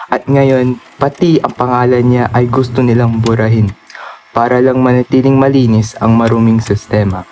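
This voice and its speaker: A man's voice: medium pitch, fairly even intonation, normal speed, a little mellow, a little hiss or hum, close to an everyday voice, rough recording. A man acting a defiant, grieving son exposing his corrupt father in a solemn, declamatory Filipino radio drama style. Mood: solemn resolve.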